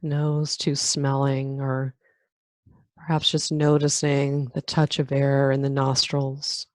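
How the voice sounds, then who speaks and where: A woman leading a guided meditation in a calm, unhurried manner, leaving long pauses between phrases. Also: rumbling low, no room sound. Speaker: meditation guide, yoga nidra teacher. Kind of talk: guided meditation, body scan.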